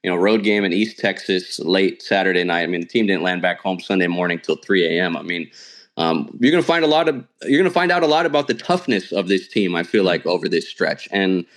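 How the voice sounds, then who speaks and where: A man's voice: deep voice, expressive, a little fast. A man who works as a sports announcer, talking casually and analytically as an interview guest. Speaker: radio play-by-play sports announcer, podcast guest.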